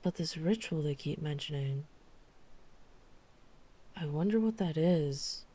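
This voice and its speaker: A dry-witted vampire hunter played by a woman from an urban fantasy audio roleplay. Her voice is very low for a woman and softly dark. She tells things deliberately, like someone guarded who is confiding in a friend. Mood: grave, wry. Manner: measured, deliberate storytelling with dry asides.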